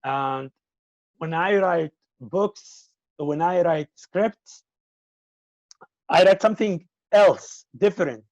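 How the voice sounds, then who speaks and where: A man whose first language is Arabic, answering interview questions about filmmaking in a halting way and often repeating words. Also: a little high, darkish tone, no echo, rough recording. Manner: halting, repeats words while searching for them.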